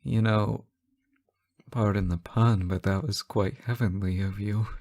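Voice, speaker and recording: Man acting a slow, stammering, lovesick fallen angel. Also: lowish voice, steady tone, a little mellow. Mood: tender. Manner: stammering, halting, pleading. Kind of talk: emotional roleplay audio acting addressed to the listener.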